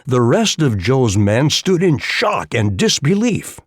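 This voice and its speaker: A man narrates a western audiobook. His mid-pitched voice moves with lively intonation through the scripted prose. The sound is dry and free of room echo. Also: slow.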